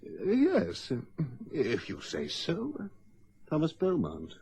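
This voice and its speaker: An actor playing a bumbling, deferential police inspector in a radio play, hesitant and blustering, with stammered, obsequious replies. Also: male voice, fairly high pitch, huge pitch swings, takes it slowly, darkish tone, breathy edge, exaggerated voice. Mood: bluffing, unsure.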